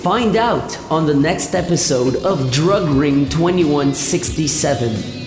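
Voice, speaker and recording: A scripted radio play narrator with an animated delivery. The voice rings with echo and long reverb, and music plays clearly behind it. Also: medium pitch, slow.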